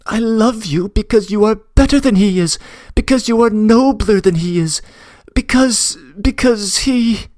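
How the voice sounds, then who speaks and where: A man's voice: sits high, measured pace, few pauses, a little mellow, no echo. A man voicing a passionate young woman who pleads earnestly, close to tears, in an audiobook reading. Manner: trembling, earnest, breathless confession. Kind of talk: audiobook reading of a classic novel.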